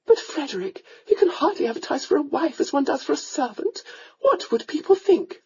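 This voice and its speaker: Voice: high voice, big pitch swings, brisk, darkish tone, voice pushed up. A narrator voicing a meek, gushing aristocratic mother, a countess, who frets and apologizes, in a slightly muffled recording. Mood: fretful.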